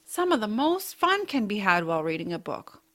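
A woman playing a kindly, whimsical aunt in a family audio drama, speaking with gentle earnestness. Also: medium pitch, very animated, moderate pace. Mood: warm, encouraging. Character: kindly, whimsical aunt, bookish home cook.

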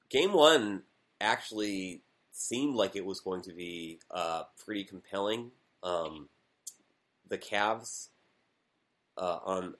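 A man with a low voice co-hosting a podcast in casual conversation. He rambles through long stretches of commentary, with fillers and a self-deprecating tone. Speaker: podcast co-host. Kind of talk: casual chat.

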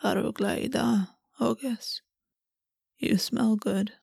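A woman reading the part of a measured, cold-edged male prince in a fantasy audiobook, speaking slowly and softly. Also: mid-range voice, vocal fry, slightly rough, no echo, clean studio sound, exaggerated voice. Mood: tender.

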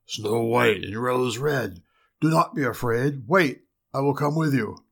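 A kindly enchanted storybook prince, read by a man, with a mid-pitched gravelly voice. He speaks in a measured, reassuring way. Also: fairly bright tone, heavily acted. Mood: gentle, reassuring. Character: kindly enchanted prince, first in bear form. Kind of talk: storybook character voice.

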